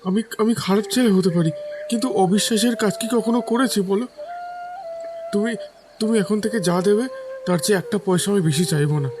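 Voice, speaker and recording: A man's voice: sits high, fairly quick, lightly acted. An actor playing a spoilt twenty-year-old heir in a radio drama who stammers when he grows emotional, with music underneath. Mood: pleading. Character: young man of twenty, spoilt heir, later pleading.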